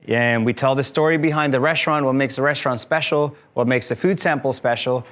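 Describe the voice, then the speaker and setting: A man's voice: mid-range voice, brisk, a bit bright. A man in his thirties from Mexico, speaking as a guest to a live audience in a room, friendly and explanatory with frequent fillers. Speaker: guest speaker, tour company owner. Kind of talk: conversational talk to a live audience.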